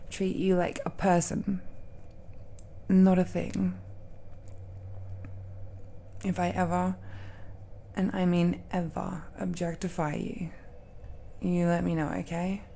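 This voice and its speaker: Romance audio roleplay: a woman as a possessive, adoring dragon-blooded girlfriend. Creaky low-key murmur, halting, tender delivery, extremely unhurried. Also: a little hiss or hum. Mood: earnest, pleading. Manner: hesitant, halting, with breathy murmurs and soft laughs.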